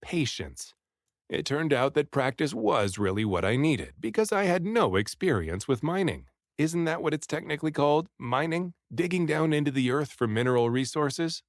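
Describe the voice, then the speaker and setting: A man narrating a first-person adventure story aloud in an expressive, dramatic storytelling manner. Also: on the high side, moderate pace, dry recording, studio quality. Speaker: audiobook reader.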